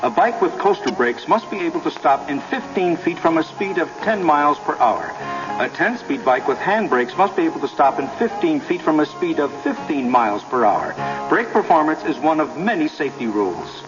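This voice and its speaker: Male public service announcer, lively intonation, music bed, muffled 1970s radio sound with reverb. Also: on the high side, moderate pace. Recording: dull, reverberant old radio recording.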